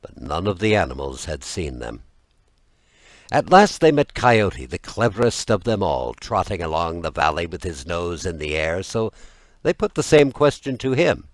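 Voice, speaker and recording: A man with a low-pitched voice narrates a fairy tale in a close, dry recording. He reads expressively and deliberately, with a lively rise and fall in his intonation. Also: dry recording. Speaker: audiobook narrator.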